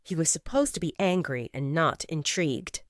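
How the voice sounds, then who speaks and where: Expressive woman narrating an audio drama. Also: sits low, moderate pace, no room sound, clean studio sound. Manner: expressive, intimate storytelling.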